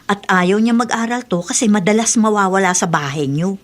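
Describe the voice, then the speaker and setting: A woman's voice: mid-range voice, fairly quick, lightly acted. An actress in a Filipino radio drama playing a gossipy, suspicious woman friend who speaks in a hesitant, confiding way. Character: gossipy, cautious, suspicious adult female friend.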